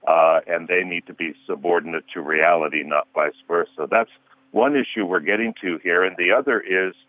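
A man's voice: low voice, moderate pace. A man in his sixties, a guest counselor on a radio show, arguing earnestly through rhetorical questions over the phone. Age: 60s. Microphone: telephone. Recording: tinny phone line.

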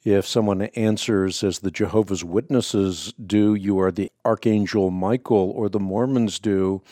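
A man hosting a radio call-in show, native Dutch speaker, teaching in a measured, emphatic, preacherly way. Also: on the low side, fairly even intonation, dark tone, no echo, clean studio sound. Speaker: radio host answering callers.

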